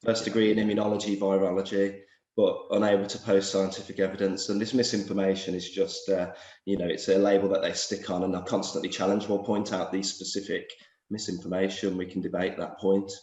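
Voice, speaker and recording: A man with a hoarse voice from a cold, talking quickly and animatedly as a doctor interviewed on a show. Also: low-pitched, level tone, a little mellow, reverberant, muffled recording. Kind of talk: video call interview chat.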